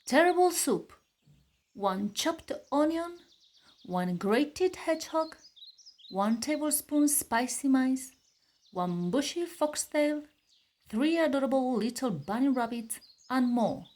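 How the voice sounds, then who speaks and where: A woman reads a children's picture book aloud in a high, very lively voice. She takes her time, telling it expressively and deliberately. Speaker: storybook reader, narrator.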